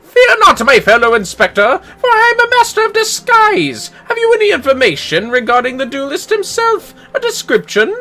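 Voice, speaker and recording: An excitable gentleman inspector from a video game, pitched far up and swooping wildly. The delivery is pompous and grandiose, bright and forceful, every phrase a flourish. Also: moderate pace, few pauses, full character voice. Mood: boastful. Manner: flowery, theatrical, grandiose. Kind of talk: video game dialogue read aloud.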